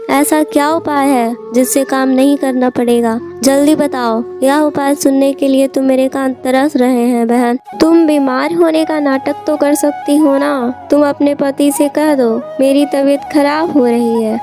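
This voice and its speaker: A woman voicing a work-shy, scheming village housewife in a moral-story cartoon, with a dramatic, complaining manner. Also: sits high. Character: potter's work-shy, complaining, scheming wife and her friend.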